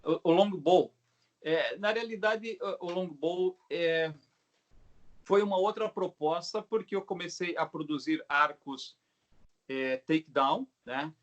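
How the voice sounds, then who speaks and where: A middle-aged Brazilian man with a slightly high voice talks casually as an interviewed craftsman. He explains things haltingly, restarting and adding little tag questions as he goes. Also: muffled recording. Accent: Brazilian Portuguese. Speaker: craftsman, bow maker being interviewed. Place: video call.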